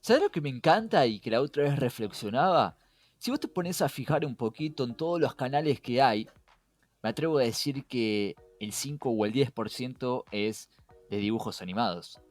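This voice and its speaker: A man's voice: mid-range voice, lively intonation, normal speed. A young man with a Rioplatense Spanish accent, co-hosting a conversational podcast in an emphatic way, putting questions straight to the listener. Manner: emphatic, rhetorical questioning, addresses listener directly. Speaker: podcast co-host. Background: background music.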